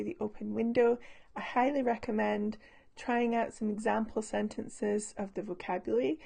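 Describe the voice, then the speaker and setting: A hoarse woman teaching English, patiently explaining vocabulary from a short story with frequent pauses and restarts. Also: medium pitch, dark tone.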